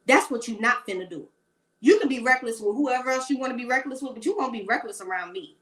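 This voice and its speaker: A woman's voice: fairly high pitch, fast, fairly bright tone. A woman in her late thirties giving blunt, sassy celebrity gossip commentary in a rambling rant. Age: 30s.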